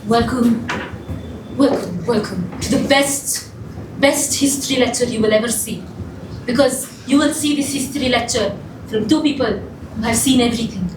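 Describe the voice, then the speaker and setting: A woman's voice: on the high side, moderate pace, natural voice. A woman playing a centuries-old French ghost lecturer with a put-on French accent, in a grand, showy way full of rhetorical questions. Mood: grandiose. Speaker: improv performer. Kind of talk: improv comedy. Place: theatre stage. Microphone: distant room mic. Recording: echoey stage, distant mic, noisy.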